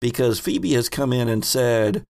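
Slow-paced, creaky-voiced man hosting. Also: mid-range voice, animated, darkish tone. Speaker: host and game master. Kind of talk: casual tabletop game hosting.

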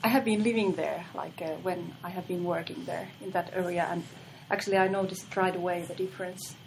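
Low-voiced woman lecturer. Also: fairly even intonation, a little fast, creaky, slight background noise, poor audio. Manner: agreeable, frequent affirming interjections. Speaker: university business lecturer. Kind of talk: casual discussion.

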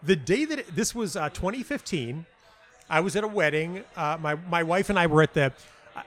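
A man's voice: a little high, expressive, moderate pace, a bit bright. A middle-aged man telling stories as an interview guest, stammering and repeating words, acting out the dialogue he quotes. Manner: animatedly acts out quoted dialogue. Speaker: interview guest, playwright and audio dramatist. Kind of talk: conversational anecdotal storytelling.

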